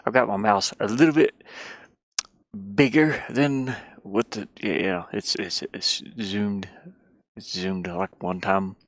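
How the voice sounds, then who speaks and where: Slow talking game dev kinda muttering to themselves while working, gravelly fry, dry close sound, stop and start. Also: low-pitched, steady tone, no echo. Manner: murmuring to self, halting. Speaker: indie game developer. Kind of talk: casual screen-share chat while working. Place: inside a van.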